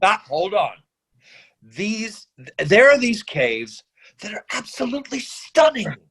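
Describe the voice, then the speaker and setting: An earnest, idealistic father in midlife played by a male actor in a staged reading. His voice sits fairly high and swings widely in pitch. He is halting and rambling as he tries to persuade. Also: sing-song, a bit bright, breathy edge, exaggerated voice. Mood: eager, persuasive. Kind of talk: staged readers theatre reading.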